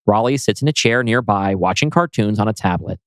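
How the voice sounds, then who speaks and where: A man's voice: fairly low pitch, expressive, brisk, no room sound, studio quality. A man narrating a screenplay dramatically as its writer, presenting stage directions and scene changes. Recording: music playing behind voice.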